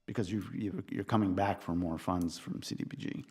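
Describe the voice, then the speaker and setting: A man's voice: deep voice, fairly quick, a little mellow, slightly rough. A man on a city committee, pressing an applicant with detailed, insistent questions and cutting in to make his point. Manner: probing, insistent, interrupts. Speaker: committee member. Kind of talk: questioning at a public meeting. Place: city council chamber.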